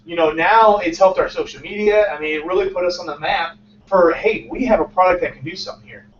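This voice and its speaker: A man's voice: high voice, fast talker, a little hiss or hum. A man in his late twenties giving a casual talk about his startup to a small crowd, acting out the dialogue in his stories and laughing often. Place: small crowded meeting room, indoors. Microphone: far camera, no visible mic. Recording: distant, roomy, dull lo-fi sound.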